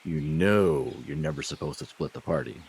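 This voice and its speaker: A man with a husky voice playing himself in a comedic audio drama, with a wry, sarcastic manner. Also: rumbling low, moderate pace, darkish tone, a little hiss or hum. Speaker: podcast performer playing himself in a comedy skit.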